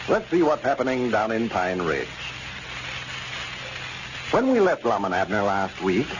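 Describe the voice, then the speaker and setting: Old time radio announcer guy, super lively swooping delivery, folksy ad pitch, muffled noisy recording. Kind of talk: 1930s radio advertising and scripted narration.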